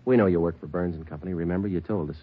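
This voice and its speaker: An actor playing a terse, matter-of-fact police detective who also narrates, in 1940s radio acting style. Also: male voice, low-pitched, very fast, husky. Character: terse male police detective, clipped and businesslike. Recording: muffled old radio broadcast with music.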